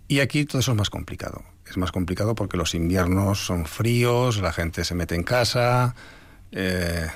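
Older spanish guy, author on a radio interview, thoughtful, pauses a lot, low dry voice. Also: dry recording. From Spain. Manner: reflective, measured, with hesitations. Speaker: author guest.

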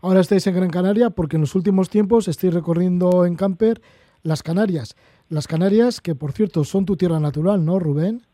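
A man hosting a radio interview, with a high voice but a very dark, mellow tone. The sound is dry and close, like a studio mic. He asks friendly, leading questions. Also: a little fast, no room sound.

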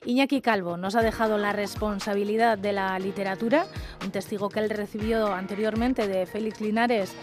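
A woman's voice: medium pitch, fairly even intonation, moderate pace. A woman presenting a scripted literary radio programme as its host. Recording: music playing behind the voice. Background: background music.

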